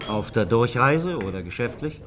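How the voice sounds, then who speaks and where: A man's voice: medium pitch, animated, close to an everyday voice. Gossipy pub landlord played by a man, noisy background. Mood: chatty, obliging. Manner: folksy, chatty, halting gossip. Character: chatty, gossipy male small-town pub landlord. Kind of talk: German radio drama dialogue.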